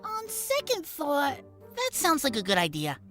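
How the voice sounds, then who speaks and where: A clever, stubborn schoolboy colt, acted by a woman, speaks in a high, lively voice. He is laid-back, a cartoon story character with music behind him. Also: measured pace, heavily acted. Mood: reluctant. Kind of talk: cartoon fan fiction audio drama.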